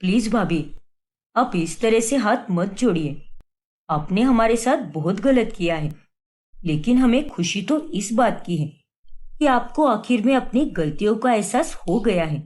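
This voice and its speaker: A woman voicing a gentle, forgiving young wife who speaks in a pleading tone. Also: mid-range voice, lively intonation, a little slow, voice pushed down, natural voice. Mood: warm.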